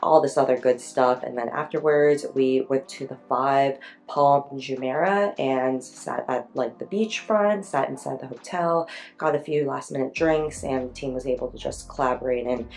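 A tired woman in her thirties with a raspy voice, chatting casually to camera in a vlog. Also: a bass voice, normal speed, darkish tone. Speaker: vlogger. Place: small hotel room indoors.

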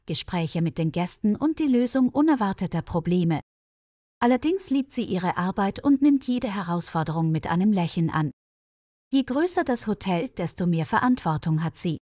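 A woman reading a scripted story for language learners in a careful, clearly phrased way. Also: medium pitch, a little mellow, no echo, clean studio sound. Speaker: narrator of a German listening-practice story.

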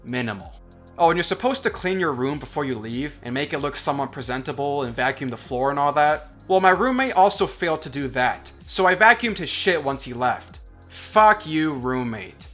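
A young man in college giving sarcastic, deadpan commentary as a voice-over. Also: on the high side, moderate pace, soft background music. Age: young adult. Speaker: commentary vlogger. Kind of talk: sardonic scripted commentary over animation.

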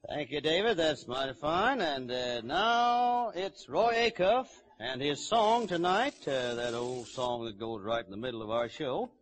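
A man's voice: a little high, lively intonation, unhurried, hardly any pauses, a bit bright. A man hosting a live 1930s radio show in a folksy, hearty manner, on an old broadcast recording.

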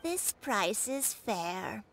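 A woman voicing a kindly elderly granny in a video game, gentle and unhurried. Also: mid-range voice, big pitch swings, gravelly, exaggerated voice. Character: kindly elderly granny who crafts kites. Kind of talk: video game character acting. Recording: loud game music behind the voice.

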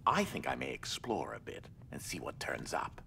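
Dry sardonic scientist uncle, deep voice, very swooping delivery, game audio with loud music. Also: male voice, wildly swooping pitch, moderate pace, vocal fry, a little hiss or hum, full character voice. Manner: dry, condescending quips. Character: sardonic absent-minded male scientist uncle. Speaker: in-game narrator.

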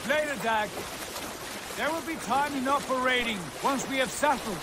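An actor playing a proud, measured Viking jarl in a video game, formal and authoritative. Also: male voice, extremely high pitch, heavy background noise. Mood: commanding.

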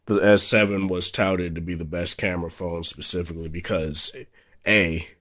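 Young male tech vlogger, very low voice, slightly sore, casual and rambling, dry room. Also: normal speed, no echo. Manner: relaxed, rambling, many fillers and restarts. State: slightly sore voice after illness. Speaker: tech reviewer. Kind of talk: casual hands-on phone review. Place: indoors at a table, off camera.

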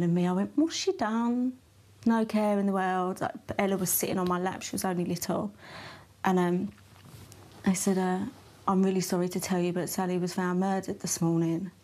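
A woman's voice: on the low side, a little mellow. A woman, the victim's sister, recalling the news emotionally in an interview. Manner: emotional, quoted dialogue, rising voice. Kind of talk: interview recollection.

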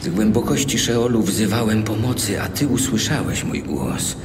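An actor playing a troubled, earnest Hebrew prophet in an audio Bible drama. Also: male voice, lowish voice, very animated, background noise. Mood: anguished prayer. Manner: earnest, measured, prayerful.

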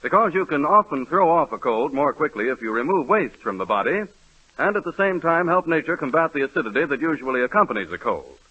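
A man reading a brisk radio commercial as a 1930s announcer. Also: on the high side, big pitch swings, bright, forceful tone. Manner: brisk, smooth, salesman-like. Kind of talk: 1930s radio ad read and comedy. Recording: muffled old radio broadcast.

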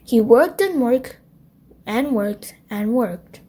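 Female picture-book narrator for bedtime reading, high-pitched, taking it slowly. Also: animated, dark tone, a little hiss or hum. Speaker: children's storybook narrator. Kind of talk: scripted bedtime story read-aloud.

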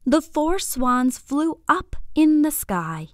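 A woman narrating a scripted bedtime story for young children in an expressive, deliberate, clearly phrased way. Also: sits high, no echo, studio quality.

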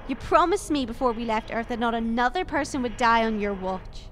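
A woman's voice: fairly high pitch, animated, brisk, fairly bright tone, slight background noise. A woman playing a frank, plucky time-travel companion in an audio drama, speaking in a blunt, informal way. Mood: determined. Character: the Doctor's frank, plucky female companion from Earth.

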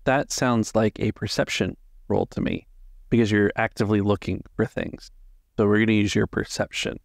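Low-voiced man narrating, slightly gravelly. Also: fairly even intonation, normal speed, dry recording, clean studio sound. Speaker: game master. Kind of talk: narrating a tabletop roleplaying game.

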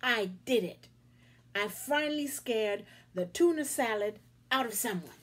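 A woman voicing a friendly male monster who tries hard to be scary and exclaims loudly. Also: a little high, expressive, unhurried. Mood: gleeful. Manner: gleeful, exclaiming.

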